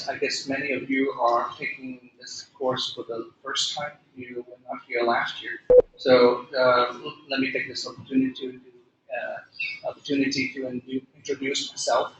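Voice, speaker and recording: A man's voice: medium pitch, fairly bright tone, dull audio. Hesitant male lecturer. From Hong Kong. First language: Cantonese. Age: middle-aged. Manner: hesitant, many fillers and restarts. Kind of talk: classroom lecture. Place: lecture room. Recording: echoey room, faint noise.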